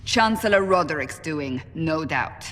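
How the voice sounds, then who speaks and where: An actress playing a stern, commanding warrior seeker in a video game, speaking in a formal, firm manner. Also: female voice, low voice, animated, measured pace, fairly bright tone, long reverb tail, slight background noise. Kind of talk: video game cutscene acting.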